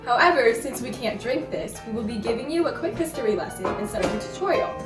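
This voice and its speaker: A woman's voice: a little high, lively intonation, fast. A young woman hosting a video from a script as its presenter. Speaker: presenter and editor. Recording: echoey, distant from the mic. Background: background music.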